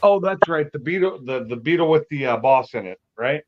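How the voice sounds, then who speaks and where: A man chats easily as a guest on a car livestream, loose and relaxed, often trailing off into fillers. The sound is a little dull and lo-fi, as if it came through a modest call connection. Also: on the high side, a little fast, a little hiss or hum. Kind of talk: casual car chat.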